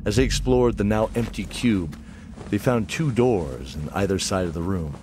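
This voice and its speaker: A man's voice: fairly low pitch, lively intonation, normal speed, over music. A man narrating a scripted fantasy audio drama.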